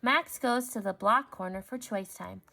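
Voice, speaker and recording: A woman reading a children's story aloud, expressive and clearly phrased for young listeners. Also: medium pitch, normal speed, faint music behind. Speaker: storybook reader.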